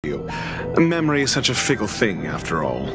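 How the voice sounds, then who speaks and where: An actor playing an arrogant, sardonic intellectual doctor in a video game, speaking in an articulate, disdainful and deliberate way. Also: male voice, on the low side, huge pitch swings, creaky, exaggerated voice. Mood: amused, mocking. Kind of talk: scripted video game dialogue. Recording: game music under the voice.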